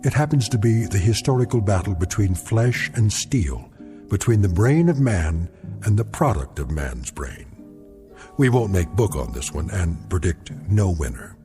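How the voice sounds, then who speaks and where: A man narrating an eerie anthology radio drama as a solemn, wry host, with deliberate, portentous phrasing. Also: lowish voice, sing-song, mellow. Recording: long reverberant hall sound, music behind.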